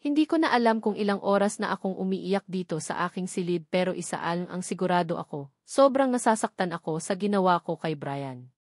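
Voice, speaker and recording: A woman's voice: lowish voice, animated, brisk, no room sound, clean studio sound. A woman with a Filipino accent narrating a romance novel as a dramatic scripted audiobook.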